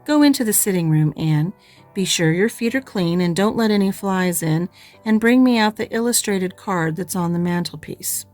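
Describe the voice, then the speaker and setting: A woman voices a stern middle-aged spinster who answers curtly and with open disapproval. She speaks at a mid pitch, close to the reader's own voice, in a dry, matter-of-fact way. Faint music sits under the reading. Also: lively intonation, moderate pace, a little mellow, close to an everyday voice. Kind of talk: audiobook character reading.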